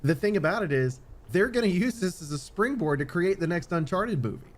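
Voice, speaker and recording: A man's voice: high-pitched, expressive, a little fast. Casual, rambling man podcaster. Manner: rambling, repetitive, swears casually. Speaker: podcast host. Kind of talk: casual opinionated chat.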